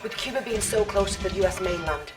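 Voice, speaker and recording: A woman's voice: mid-range voice, quick pace, distant mic, over music, natural voice. A woman acting as an earnest military intelligence officer, giving urgent briefings in a video game.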